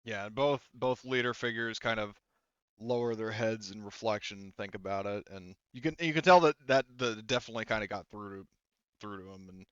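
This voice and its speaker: A man running a tabletop game as game master, narrating off the cuff in a halting, conversational way. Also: lowish voice, a bit bright, smooth, no room sound. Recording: slightly dull, close dry sound.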